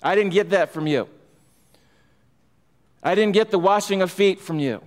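Middle-aged pastor preaching in a reverberant sanctuary. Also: male voice, high-pitched, normal speed. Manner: emphatic, rising in fervor, gestures while preaching. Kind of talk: expository sermon. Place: church sanctuary, large room indoors. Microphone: pulpit microphone. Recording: long hall reverb.

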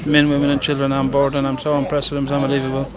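A man's voice: mid-range voice, completely flat delivery, racing. A middle-aged man talking off the cuff in an outdoor interview, often repeating his words. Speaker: interviewee at a protest site. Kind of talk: spontaneous interview talk. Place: outdoors at night. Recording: outdoor crowd noise behind.

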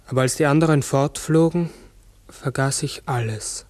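An actor playing a large talking raven in a 1960s radio drama, brooding, uncertain and often correcting himself. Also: male voice, medium pitch, darkish tone, dry recording, lightly acted. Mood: searching, melancholy. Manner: halting, brooding, self-correcting. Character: human-sized talking raven, pensive, uncertain, forgetful.

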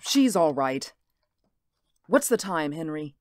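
A woman's voice: low-pitched, big pitch swings, takes it slowly, darkish tone, a little hiss or hum, exaggerated voice. An actress playing an anxious housewife and mother in a radio drama. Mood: uneasy. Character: anxious housewife and mother hiding her unease.

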